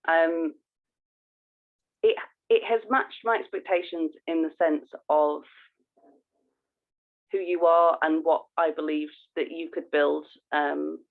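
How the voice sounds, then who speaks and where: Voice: mid-range voice, gravelly, phone-quality sound. A podcast co-host chatting casually and effusively, thinking aloud while talking.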